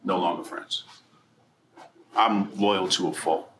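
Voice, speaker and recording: A man from New Jersey giving a personal storytelling speech at a political mixer, animated and profane, swinging between loud bursts and quiet asides. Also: low voice, takes it slowly, a little hiss or hum. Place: event room. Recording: echoey room with faint ambience.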